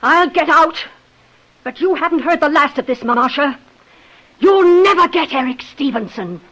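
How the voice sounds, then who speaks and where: A woman's voice: sits high, lively intonation, measured pace, rough recording. A woman playing a jealous, vengeful sister-in-law with intense, melodramatic 1950s radio acting. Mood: bitter.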